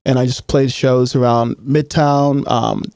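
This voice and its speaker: A man's voice: mid-range voice, a little slow, gravelly, clean tone, no room sound, clean studio sound. A young man from Hawaii, a musician guest, chatting casually in an interview, rambling with lots of "like" and "um". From Hawaii, Oahu north shore. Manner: relaxed, rambling with many "like"s and "um"s.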